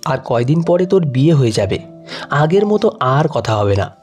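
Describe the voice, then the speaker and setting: A man's voice: medium pitch, big pitch swings, moderate pace, a little mellow, soft background music, close to an everyday voice. A man voicing an affectionate middle-aged father in an emotional audio story.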